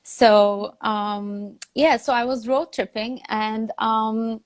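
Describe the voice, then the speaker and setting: A woman's voice: on the high side, fairly even intonation, slow. Chatty woman in her thirties, laughs easily. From Turkey. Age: 30s. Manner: chatty, laughs often, lots of fillers. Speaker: guest. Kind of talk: casual video-call interview. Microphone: video call.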